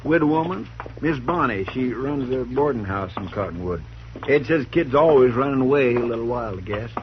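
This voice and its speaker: An actor playing a hesitant, folksy deputy who stammers, on an old radio broadcast. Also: male voice, mid-range voice, lively intonation, normal speed, dull audio, slight background noise, close to an everyday voice. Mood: worried.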